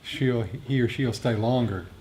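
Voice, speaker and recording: A man teaching meditation in a talk with questions and answers, speaking in a halting, reflective, explanatory way. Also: a little mellow. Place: group meditation gathering. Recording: rumbly background noise.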